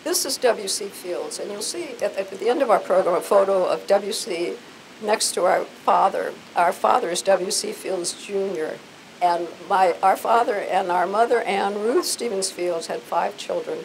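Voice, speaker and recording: An older American woman giving an informal, effusive talk to an audience on a handheld microphone, emphatic and sometimes halting. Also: medium pitch, creaky, poor audio. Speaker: event presenter. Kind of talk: informal live public talk. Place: hotel conference room before a seated audience.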